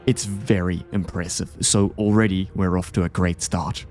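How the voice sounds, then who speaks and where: A man's voice: low voice, fairly even intonation, moderate pace, darkish tone. Man doing video commentary over music. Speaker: video creator ranking game bosses. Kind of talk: scripted video essay commentary. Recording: game music behind the voice.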